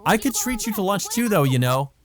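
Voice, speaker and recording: A man voicing a jealous, excitable young vampire woman from a visual novel, indignant and teasing in manner. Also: high voice, fairly quick. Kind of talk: visual novel character read aloud.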